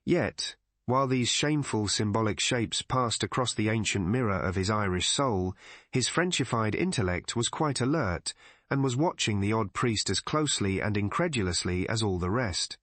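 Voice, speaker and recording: A man's voice: lowish voice, expressive, normal speed, fairly bright tone, no echo. Man narrating a classic detective story. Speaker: audiobook narrator.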